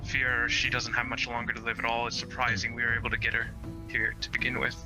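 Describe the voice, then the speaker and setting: A man's voice: medium pitch, completely flat delivery, fast, poor audio. A man playing a tabletop game, casually slipping in and out of character. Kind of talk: casual role-play, speaking in and out of character. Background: music.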